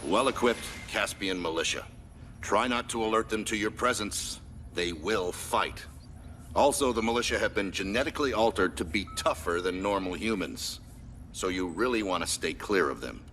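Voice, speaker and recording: Stern military commander, very noisy audio. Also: male voice, lowish voice, animated, fairly bright tone. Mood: grave. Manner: measured, authoritative, matter-of-fact. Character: stern male military commander briefing a covert squad. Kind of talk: video game mission briefing.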